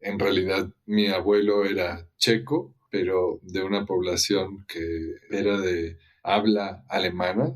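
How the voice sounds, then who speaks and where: A man from Ecuador, a podcast guest, tells his family story in a reflective, measured way. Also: low voice, a little mellow. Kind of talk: conversational interview.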